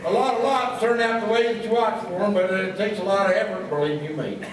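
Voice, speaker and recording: A man's voice: high-pitched, quick pace, crowd noise, lightly acted. A man playing a daft, folksy small-town sidekick in a comic radio double act, rambling on obtusely. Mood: amiably muddled. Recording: distant, very echoey stage, audience behind.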